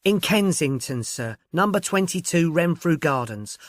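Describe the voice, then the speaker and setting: A timid young working-class woman, acted by a male narrator, polite and eager to defer. The pitch is lifted and moves about freely, with a hesitant, earnest delivery in audiobook dialogue. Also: lively intonation, unhurried, no room sound, studio quality. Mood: helpful, deferential.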